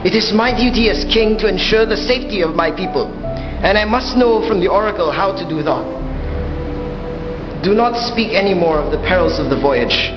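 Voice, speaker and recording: A man's voice: sits high, expressive, normal speed, muffled recording. A man playing a dutiful, tender king in a radio play of Greek myth, speaking solemnly in formal old-fashioned diction. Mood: tender, resolute. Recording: reverberant hall sound, clear music behind.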